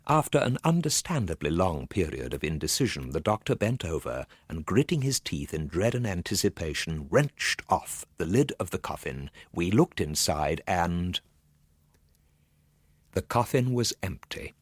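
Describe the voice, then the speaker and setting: A man's voice: deep voice, very animated, moderate pace, breathy edge, no room sound. A man narrating a comic ghost story in the first person, theatrically, with precise old-fashioned diction. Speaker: storyteller, actor.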